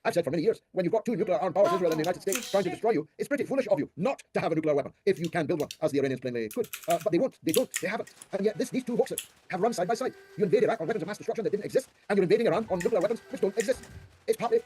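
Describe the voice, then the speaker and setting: A man's voice: sits high, lively intonation, very fast, warm tone, a little hiss or hum. An older man giving political commentary as an interview guest, speaking emphatically with rhetorical lists and questions.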